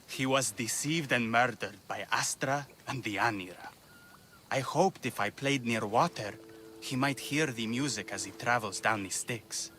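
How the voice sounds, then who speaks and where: A man's voice: medium pitch, unhurried, sharp tone. An actor playing a grieving, vengeful man in a video game, solemn and bitter. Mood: mournful. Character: grieving, vengeful male musician who lost his brother. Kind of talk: video game dramatic acting. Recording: faint ambient noise.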